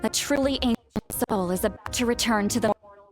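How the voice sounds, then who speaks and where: A woman's voice: fairly high pitch, fairly quick, faint music behind, natural voice. A woman playing a blunt, bossy, easily exasperated elder who sounds young, in an anime game. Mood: serious, explanatory. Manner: blunt, scolding, formal when explaining.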